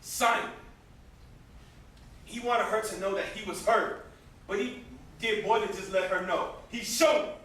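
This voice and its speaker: A man's voice: sits high, measured pace, long pauses, fairly bright tone. A young man on an amateur stage playing a bitter, guilt-ridden brother in mourning, with vehement, declamatory delivery. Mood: agitated. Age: young adult. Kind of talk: amateur stage drama acting. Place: indoor stage, recorded from a distance. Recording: very echoey, noisy stage recording from far off.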